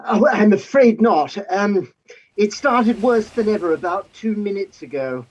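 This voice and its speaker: Woman as a husky, flustered, polite gentleman. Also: medium pitch, lively intonation, a little slow, dull audio. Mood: flustered, apologetic. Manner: hesitant, apologetic, fussily proper. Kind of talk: theatrical staged play reading.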